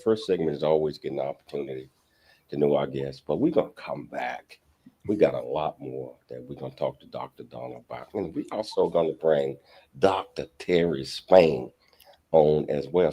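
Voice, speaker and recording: A man's voice: very deep voice, dark tone, slightly rough. A man hosting a radio talk show, chatting to guests and inviting the online audience to take part. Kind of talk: addressing online audience before a break.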